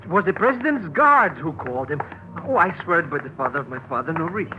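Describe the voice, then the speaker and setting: Brief: jittery sidekick, fast and lively, high voice, muffled hissy old radio. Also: male voice. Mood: nervous. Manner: stammering, nervous, fast. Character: jittery excitable male sidekick.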